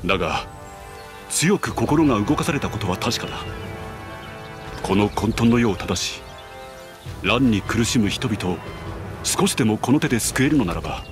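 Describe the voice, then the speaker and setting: An actor playing a noble, humble young warrior in a video game, speaking earnestly and formally. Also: male voice, lowish voice, animated, normal speed, long pauses, over music, lightly acted. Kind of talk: video game cutscene voice acting.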